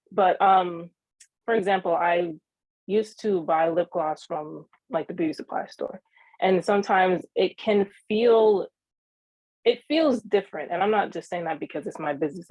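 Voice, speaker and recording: A young woman of eighteen speaks earnestly and a little haltingly, often pausing on ums and restarting her sentences. Her voice sits on the low side for a woman. The recording is dry and somewhat dull. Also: darkish tone, no room sound. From New York (Queens). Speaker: young entrepreneur guest. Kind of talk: interview answers.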